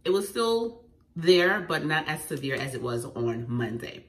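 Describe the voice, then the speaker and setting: A woman with a head cold, sounding congested, sharing home remedy tips in an animated, emphatic vlog at home. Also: low-pitched, measured pace. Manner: animated and emphatic, repeating phrases. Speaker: lifestyle vlogger. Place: at home indoors. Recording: echoey room, faint noise.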